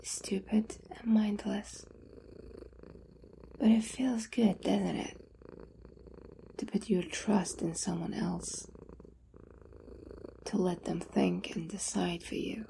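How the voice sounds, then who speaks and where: A woman acting a slow, cooing, possessive captor with vocal fry. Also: mid-range voice, steady tone, dark tone, slight background noise. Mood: doting, domineering. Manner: slow, teasing, cooing, condescending, often whispering. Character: possessive, coaxing female owner doting on a captive pet. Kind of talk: intimate ASMR roleplay, often dropping to whispers.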